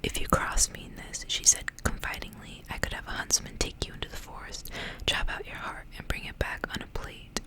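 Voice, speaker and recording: A woman speaking in a breathy, unvoiced whisper, in the intimate style of an ASMR reading. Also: a little fast. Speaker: ASMR creator reading stories aloud. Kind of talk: whispered ASMR storybook reading with casual asides.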